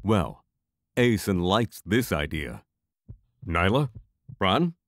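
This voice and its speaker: A pragmatic male mage in a fantasy audio drama, voiced by a man. He talks wryly in a fairly low voice with lively inflection. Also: slow pace, dry recording, exaggerated voice. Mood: wry, apprehensive. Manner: dry, wry, reasoning aloud. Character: male lightning mage, wry, pragmatic adventurer.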